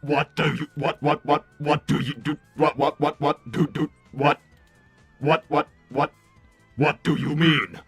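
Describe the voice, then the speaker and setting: Man voicing an earnest, forlorn robot son, husky. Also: fairly high pitch, steady tone, a little slow, a bit bright, faint music behind, exaggerated voice. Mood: flustered, wounded. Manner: stiff formal phrasing, stammering repeats when thrown. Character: earnest, forlorn robot son seeking his father's respect. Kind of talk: melodramatic cartoon roleplay.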